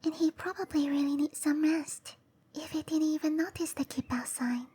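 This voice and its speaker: A woman voicing a gentle, caring cherry blossom dryad girl in an ASMR roleplay, musing softly to herself in a breathy, often whispered voice. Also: high voice, steady tone, close to an everyday voice. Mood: torn, concerned. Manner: musing to herself, slow and tender.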